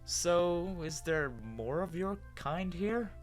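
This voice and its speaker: A man's voice: high-pitched, slow pace, soft background music. A man playing a bewildered human with lost memory, narrating his own story in a dramatic, hesitant, wondering way. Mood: tentative.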